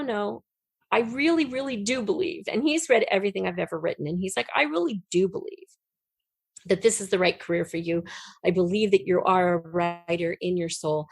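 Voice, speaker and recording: A woman's voice: medium pitch, a little fast, vocal fry, dry recording. A middle-aged woman being interviewed, telling stories in an emphatic, candid, conversational way. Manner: emphatic, candid, laughs readily. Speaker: interview guest, audio drama writer. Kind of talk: animated conversational storytelling in an interview.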